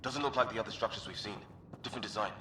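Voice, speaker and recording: A man's voice: on the low side, racing, natural voice. A man playing a wary, sardonic squadmate in a sci-fi video game, with a casual way of talking. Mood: wry, wary. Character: wry, wary male squadmate on alien expedition. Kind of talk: naturalistic video game dialogue acting. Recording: game audio under loud ambient noise.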